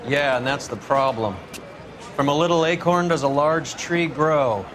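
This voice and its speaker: A man's voice: fairly high pitch, a little slow, lightly acted. A man voices a hard-bitten 1940s vice detective who has seen it all. He talks plainly and coarsely, over a noticeable bed of background noise. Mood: cynical. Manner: gruff, blunt, profane. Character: cynical veteran 1940s vice cop, crude and worldly.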